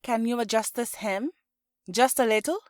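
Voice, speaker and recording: A woman's voice: a little high, normal speed, no echo, studio quality, pitched above the performer's own voice, lightly acted. Woman acting a coaxing, confident elder sister.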